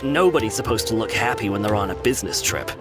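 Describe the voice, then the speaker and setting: Man as a doubtful junior underling, very animated. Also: on the low side, fast, over loud music, close to an everyday voice. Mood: disbelieving. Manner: hesitant, respectful. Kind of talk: video game character acting.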